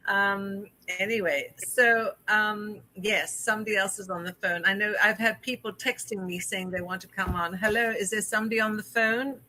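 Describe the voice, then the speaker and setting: A woman's voice: mid-range voice, steady tone, moderate pace, hardly any pauses, bright, forceful tone, dry recording, rough recording. A woman from South Africa hosting a call-in interview show, chatty and effusive with lots of fillers. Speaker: host.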